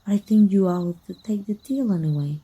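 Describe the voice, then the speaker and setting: A woman from Mexico playing a sly, taunting, manipulative female vampire hunter and estranged sister in an ASMR roleplay, speaking with deliberate, mock-sweet menace. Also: medium pitch, warm tone, slightly rough, close to an everyday voice, rough recording.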